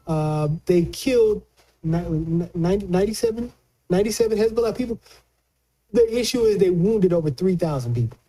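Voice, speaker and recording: A man giving conversational political commentary as a news analyst, colloquial and halting, often repeating words as he explains. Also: high-pitched, mellow, rough recording.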